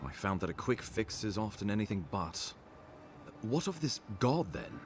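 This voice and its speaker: An actor playing an earnest, weary leader who demands answers in a video game. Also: male voice, fairly low pitch, very animated, moderate pace, slight background noise. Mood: troubled, probing. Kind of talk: video game cutscene dialogue.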